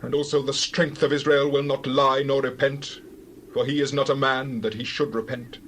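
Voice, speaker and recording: A man's voice: mid-range voice, flat delivery, normal speed, fairly bright tone, a little hiss or hum, close to an everyday voice. A man playing a stern, righteous prophet in a dramatized scripture reading, solemn and declamatory. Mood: stern, rebuking.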